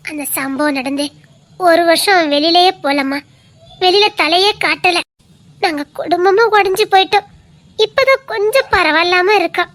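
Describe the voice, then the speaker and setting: An actress playing a gentle, forgiving middle-aged mother who soothes a crying young woman. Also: very high-pitched, normal speed. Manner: soft, soothing. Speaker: neighbour, the boy's mother.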